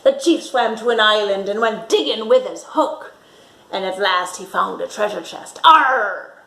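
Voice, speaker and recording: A woman's voice: mid-range voice, measured pace. Sing-song storytime woman, echoey room. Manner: bright, sing-song storytime delivery with little character voices. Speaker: children's storyteller. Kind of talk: reading a picture book aloud.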